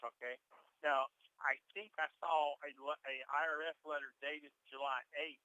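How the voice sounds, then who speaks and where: A man's voice: on the high side, steady tone, normal speed, pushed and bright, clear, resonant tone, no echo, rough recording. A man asking informal questions as a participant in a telephone conference meeting. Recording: telephone conference call audio.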